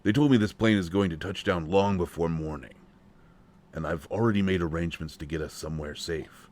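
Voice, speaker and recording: Man acting a deep-voiced, halting country guy. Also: darkish tone, close to an everyday voice. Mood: folksy, determined. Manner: hesitant, folksy, halting. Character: protective, nervous country man, plain-spoken and old-fashioned. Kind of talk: intimate roleplay audio drama acting.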